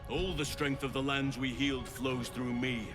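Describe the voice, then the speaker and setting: Casting: male actor as devoted druidic ally, medium pitch, earnest, solemn, music underneath. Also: steady tone, normal speed, natural voice. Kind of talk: fantasy game acting. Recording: music behind.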